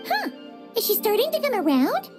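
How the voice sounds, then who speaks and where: Super high squeaky lil fairy companion, teasing and blunt, swings all over. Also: female voice, huge pitch swings, moderate pace, over music, exaggerated voice. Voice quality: squeaky, childlike. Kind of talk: game cutscene voice acting.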